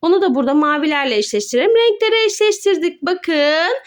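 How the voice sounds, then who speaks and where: A woman's voice: very high voice, animated, fast. A woman presenting toys in a playful way to viewers and her child, with exclamations and simple questions in child-directed talk. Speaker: mother presenting children's toy video with her son.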